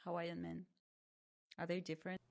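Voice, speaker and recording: A woman's voice: on the low side, little pitch movement, moderate pace. A woman hosting a casual interview podcast, asking short questions and laughing often. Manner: brief prompts and frequent laughter. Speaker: podcast host.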